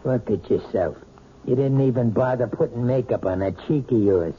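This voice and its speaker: An actor playing a domineering, bitter husband who runs a trucking company, in a 1970s radio drama. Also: male voice, mid-range voice, normal speed, close to an everyday voice. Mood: bitter, bullying. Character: bullying trucking boss husband, man, middle-aged. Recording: dull old radio broadcast with faint hiss.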